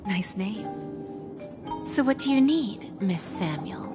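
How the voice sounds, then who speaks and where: A silky, mysterious pawnbroker. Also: female voice, medium pitch, very animated, slow. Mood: teasing. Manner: silky, coolly condescending. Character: smooth, enigmatic female pawnbroker, teasing and knowing. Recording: game audio with music behind.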